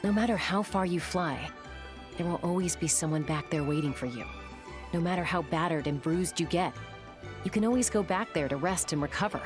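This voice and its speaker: A woman playing a caring, thoughtful young woman in a video game, steady and reassuring. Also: lowish voice, fairly quick, over music, natural voice.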